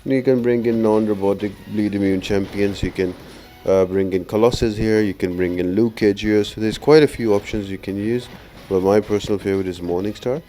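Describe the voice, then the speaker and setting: A man's voice: on the low side, steady tone, brisk, dark tone, a little hiss or hum. A man giving a casual gameplay tutorial as host, explaining things step by step. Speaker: gaming video host giving a boss guide.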